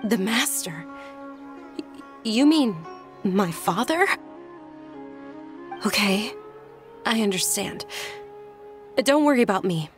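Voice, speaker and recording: Polite young noble lady from a game, gentle n earnest, music playing under it. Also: medium pitch, lively intonation. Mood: earnest, troubled. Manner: polite, formal, measured phrasing. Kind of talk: scripted video game dialogue acting.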